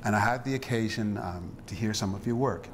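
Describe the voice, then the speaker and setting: A low-pitched man leads a reflective interview, weighing his words and pausing often to restart his sentences. A faint hiss sits under the recording. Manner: thoughtful, halting, many restarts and fillers.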